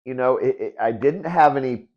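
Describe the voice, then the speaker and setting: A man's voice: medium pitch, normal speed, dull audio. A man in his early fifties sharing personal reflections as the creator and narrator of a video. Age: early 50s. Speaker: video creator and participant, sociology teacher.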